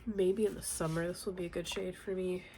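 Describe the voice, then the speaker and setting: A woman's voice: fairly low pitch, a little fast, a little hiss or hum. A young woman with a congested, nasal voice from a cold, chatting casually in a makeup tutorial at home. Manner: chatty, relaxed, many fillers. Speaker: beauty vlogger. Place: home, indoors.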